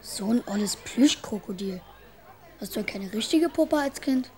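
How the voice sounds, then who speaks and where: Mellow-voiced, imaginative little schoolboy. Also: female voice, fairly high pitch, animated, unhurried. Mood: casual. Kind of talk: children's radio play acting.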